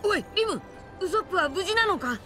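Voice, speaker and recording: A woman playing a brash, hot-headed, loyal young male adventurer in an animated game cutscene, blunt and boisterous. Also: extremely high pitch, fairly quick, soft background music. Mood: urgent.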